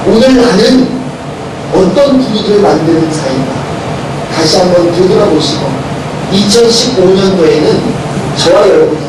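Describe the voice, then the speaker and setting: A male pastor with a cold-hoarse voice preaching a sermon and leading a prayer, getting loud and quizzing the congregation. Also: high voice. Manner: preaching loudly with rising intensity, asks the congregation questions. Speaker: senior pastor. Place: church sanctuary. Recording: very echoey church hall recorded from a distance with noise.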